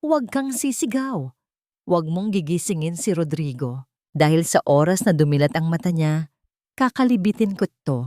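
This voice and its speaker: A woman voicing a calm, murderous villainess who mocks and threatens her captives in an audio drama story. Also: fairly low pitch, moderate pace, no room sound, studio quality, lightly acted. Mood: threatening. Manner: calm, menacing, mocking.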